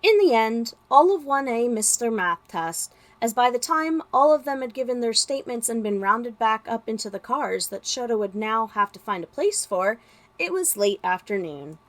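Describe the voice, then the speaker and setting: A woman reading a story as narrator, with expressive, dramatic delivery. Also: on the high side. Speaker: podfic narrator.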